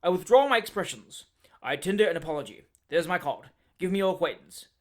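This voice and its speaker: Loud jerky bossy old-army guy, barks short lines, man reading it out. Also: fairly high pitch, lively intonation, a little fast, close to an everyday voice. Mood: brusque. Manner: short abrupt jerking loud sentences. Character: fierce blustering ex-army man, middle-aged.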